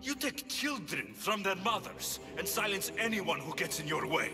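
Slightly high male voice, righteous young warrior, intense, lively swings in pitch, video game drama. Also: normal speed, over music. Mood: angry, shocked. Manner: intense, confrontational. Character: righteous young Greek warrior man, indignant, protective.

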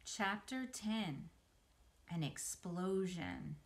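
A woman's voice: medium pitch, expressive, extremely slow. A middle-aged woman reads a children's book aloud in a mid-range voice. She narrates as an educator would, from a small room a short distance from the camera. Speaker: museum educator reading aloud. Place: small room, window corner, indoors.

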